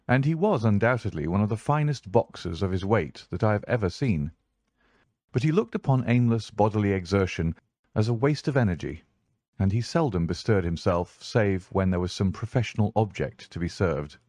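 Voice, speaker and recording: A man reading literary narration as an audiobook narrator, in a measured, formal manner. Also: on the low side, expressive, a little mellow, dry recording.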